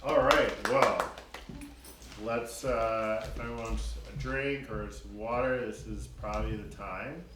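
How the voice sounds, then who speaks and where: A man's voice: lowish voice, steady tone, slow. A man casually facilitating a group meeting and prompting people to speak. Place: indoor group meeting room. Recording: echoey room, distant mic, loud noise.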